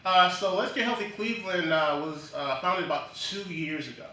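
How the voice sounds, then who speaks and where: A man from Jamaica, a guest in a casual interview, speaking in a measured way with frequent ums and uhs. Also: high-pitched, pushed and bright, slight background noise. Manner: measured, many ums and uhs, lists achievements. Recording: echoey room from a distance.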